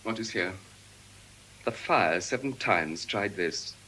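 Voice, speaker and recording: A man's voice: fairly low pitch, expressive, slow pace, pushed and bright, slight background noise, exaggerated voice, poor audio. An actor playing a proud, pompous nobleman suitor, declaiming classical verse. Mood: indignant, dismayed. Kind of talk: classical stage verse acting.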